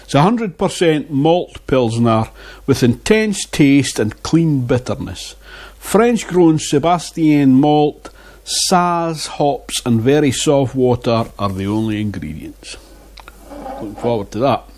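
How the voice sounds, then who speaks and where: A man's voice: medium pitch, expressive, slow, darkish tone. A man reviewing beer in a casual vlog, chatting and muttering asides to himself on a lapel mic. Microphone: wireless lapel mic.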